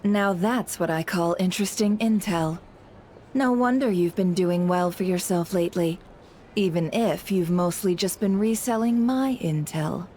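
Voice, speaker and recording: A woman playing a shrewd, teasing creditor and intel dealer in a video game, sly and coolly unhurried. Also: fairly low pitch, a little mellow, a little hiss or hum, close to an everyday voice.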